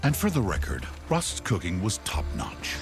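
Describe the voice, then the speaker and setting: Wry male adventure-game storyteller, deliberate and grandiose, low voice, music under it. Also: wildly swooping pitch. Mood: wry, storytelling. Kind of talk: scripted narration, video game.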